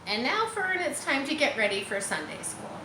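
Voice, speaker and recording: A proper storybook farm mother, polite and fretful, read by a woman. The voice is bright with lively intonation, in a room with clear echo. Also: sits high, normal speed, slight background noise, pitched above the performer's own voice, full character voice. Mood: brisk, motherly.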